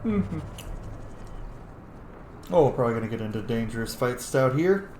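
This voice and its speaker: A man's voice: medium pitch, animated, moderate pace, a little mellow. A man giving casual gameplay commentary as a streamer, often muttering to himself. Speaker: game streamer. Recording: roomy, noisy with game sounds. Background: video game ambience.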